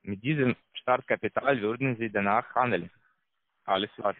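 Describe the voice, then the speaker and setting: A man with a foreign accent, not a native German speaker, giving a halting, repetitive telephone sales pitch as a call center salesman. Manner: halting, repetitive, broken grammar. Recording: tinny narrow phone line.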